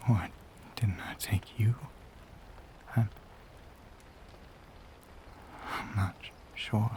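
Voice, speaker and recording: A brooding, lonely vampire gentleman of the American South, played by a man for an intimate audio roleplay. He speaks slowly and haltingly in a Southern accent. His breathy voice often sinks into a whisper as he wrestles with his hunger. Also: on the low side, fairly even intonation, pitched below the performer's own voice. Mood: haunted, sorrowful. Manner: halting, hesitant, drawn-out phrases.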